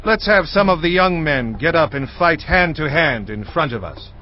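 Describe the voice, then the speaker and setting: An actor playing a proud, forceful commander of ancient Israel in a dramatized audio Bible, declamatory and indignant. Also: male voice, a little high, animated, normal speed, slight background noise, close to an everyday voice. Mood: challenging. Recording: dull lo-fi audio with music behind. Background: faint music.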